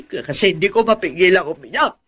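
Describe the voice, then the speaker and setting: A woman's voice: fairly low pitch, lively intonation, brisk, fairly bright tone, breathy edge. A woman playing a resentful, self-pitying middle-aged aunt in a Filipino radio drama, nagging and melodramatic. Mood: tearful, sulky. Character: resentful, self-pitying older aunt who raised her nephew.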